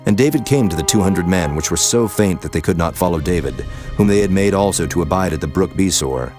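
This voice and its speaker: Casting: man with a very deep voice for measured, solemn scripture narration over clear background music. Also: animated, darkish tone.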